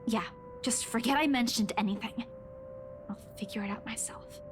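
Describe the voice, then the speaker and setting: High-voiced, subdued young woman, played by an actress. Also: moderate pace, noisy background, close to an everyday voice. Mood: defensive, resigned. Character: hurt, defensive young woman, troubled and withdrawing. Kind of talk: visual novel game voice acting.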